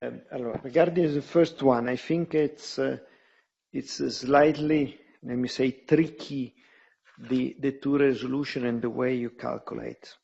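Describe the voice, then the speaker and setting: An Italian-accented male executive with a creaky, husky voice explains company results on a conference call. He is halting and deliberate, circling back over phrases. The tone is mellow and the audio slightly dull. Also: medium pitch, steady tone. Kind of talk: answering questions on an earnings call.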